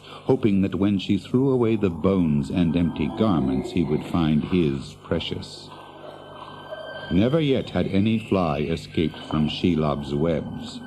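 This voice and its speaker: A man narrating a dramatised fantasy tale in a grave, ominous, deliberate manner. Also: deep voice, background noise. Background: music.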